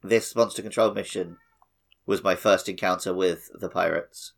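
A man casually chatting as a podcast host, animated and dryly opinionated. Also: deep voice, normal speed, vocal fry. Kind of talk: casual chat on a gaming podcast.

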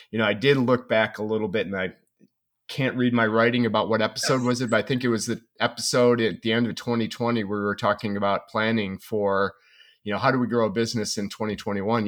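A man's voice: lowish voice, fairly bright tone. A man hosting a podcast who talks in long, rambling runs, repeats words and fills the gaps with "you know". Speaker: podcast host. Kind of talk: casual interview talk.

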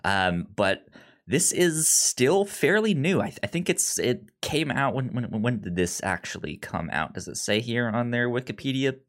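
A man's voice: medium pitch, big pitch swings, moderate pace. A man co-hosting a casual movie review podcast, speaking enthusiastically and often repeating words as he thinks. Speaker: podcast co-host.

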